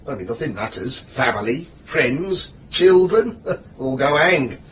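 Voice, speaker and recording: A man's voice: medium pitch, animated, measured pace, fairly bright tone, distant mic, dull audio. An actor playing a self-important, grumbling English married man who rambles fussily in a radio drama. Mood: scornful, blustering. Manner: rambling, fussy, repeats himself. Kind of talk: character acting in radio drama.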